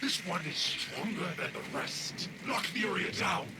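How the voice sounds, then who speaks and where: Slow, menacing villain commander. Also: male voice, high voice, animated. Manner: theatrical, imperious, slow delivery. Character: menacing, haughty aging male villain commander. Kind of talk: video game villain acting. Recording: very noisy, game sound effects behind.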